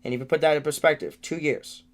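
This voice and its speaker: Halting nineteen-year-old man ranting. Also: on the high side, steady tone. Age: late teens. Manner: halting, with frequent restarts and repeated words; frustrated, venting. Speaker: livestreamer. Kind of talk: rambling livestream update. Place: room in his family home.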